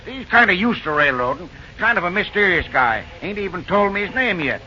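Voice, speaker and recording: A man's voice: high voice, animated, fairly quick, sharp tone, faint music behind, exaggerated voice. An actor playing an easygoing, talkative drifting railroad man with rustic, folksy speech in an old-time radio drama. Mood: earnest. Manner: folksy backwoods speech, drawling rustic grammar. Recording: noisy, dull early radio recording.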